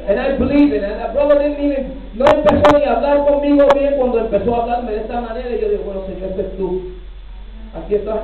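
A man's voice: high voice, a little hiss or hum. A middle-aged man, a New York-born Puerto Rican pastor, preaching a fervent testimony at a church pulpit, peppering his Spanish with hallelujahs and bits of English. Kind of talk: Pentecostal sermon testimony. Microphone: handheld mic, recorded from far back. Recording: distant, echoey, tinny church recording.

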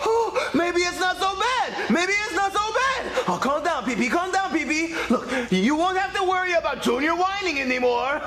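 A man voicing a whiny, panicky puppet chef who has gone deaf, stammering and loud, in a comedy skit. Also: very high voice, lively intonation, normal speed, few pauses, over a noisy crowd. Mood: self-soothing.

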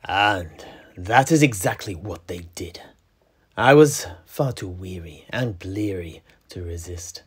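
A man plays a worldly old-world tavern keeper telling fantasy tales. His low voice is very husky and breathy. He speaks slowly, with dramatic pauses and rambling asides. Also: expressive, heavily acted. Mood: reminiscing. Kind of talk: in-character fantasy storytelling.

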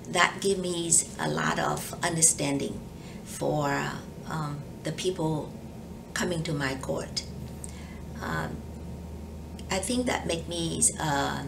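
An older woman with a Vietnamese accent talks slowly in a low voice, pausing often with soft fillers. She sounds a little distant from the mic, with steady background noise in the room. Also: gravelly. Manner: careful, hesitant, many ums. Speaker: judge. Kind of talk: oral history interview. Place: office with leather chair.

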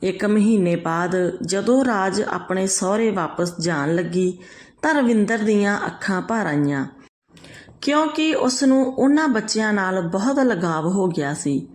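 A woman's voice: mid-range voice, animated, unhurried, a little mellow. Woman narrating a story.

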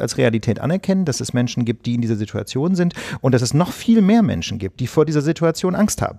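A man's voice: medium pitch, gravelly, mellow, husky. A man hosting a political podcast, pressing his guest with probing questions. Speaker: podcast host. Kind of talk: political interview.

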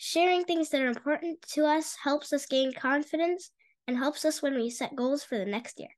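A girl in her early teens presents a youth news show with a high, light voice. She reads her script eagerly over a home webcam. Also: moderate pace. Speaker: news anchor.

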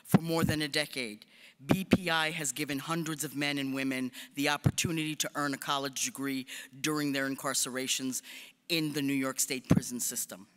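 A man's voice: medium pitch, steady tone, normal speed, a bit bright, clean tone. A man giving a formal introduction of a commencement speaker, with light joking asides. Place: large hall stage at podium. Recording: long hall reverb.

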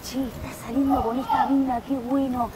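A woman's voice: sits high, level tone, normal speed, over a noisy crowd, low-quality recording. A young woman in her twenties with an Argentine accent, chatting casually as a guest on an outdoor terrace, full of fillers and restarts. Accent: Argentine Spanish. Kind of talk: casual chat. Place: outdoor terrace, seated at a table. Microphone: lapel.

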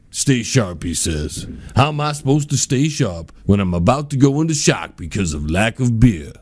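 An actor playing a cocky, grouchy older street-tough uncle with a brash, grumbling manner in a comedy audio drama. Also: male voice, medium pitch, very animated, normal speed, noisy background. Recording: loud music behind.

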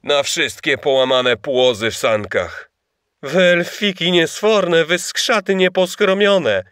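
An actor playing a fussy, exasperated reindeer who scolds theatrically in a children's audio play. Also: male voice, fairly high pitch, slow pace, a bit bright, clean tone, dry recording, studio quality. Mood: exasperated scolding.

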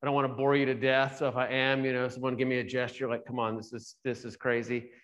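Brief: middle-aged doctor, loud voice, easygoing talk to students. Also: male voice, medium pitch, little pitch movement, quick pace. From Texas. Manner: loud, self-deprecating. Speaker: guest speaker, physician. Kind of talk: casual talk to a student audience. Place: large lecture hall.

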